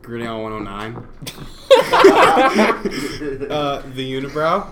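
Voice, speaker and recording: A man's voice: mid-range voice, slow pace. A man hosting a podcast with casual sports talk in a noisy recording. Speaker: podcast host.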